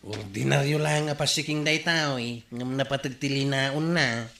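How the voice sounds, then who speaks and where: A man's voice: mid-range voice, moderate pace, creaky, sharp tone, echoey room, soft background music. A man playing a boastful, lucky villager in an Ilocano comedy radio drama, with an Ilocano accent. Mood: gleeful, boastful. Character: boastful lucky male villager flaunting inherited money.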